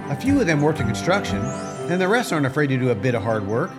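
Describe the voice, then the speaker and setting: A man's voice: on the high side, sing-song, fast, loud music behind the voice. A man playing an earnest, plain-spoken young rancher in a family radio play, with rueful country phrasing. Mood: hopeful.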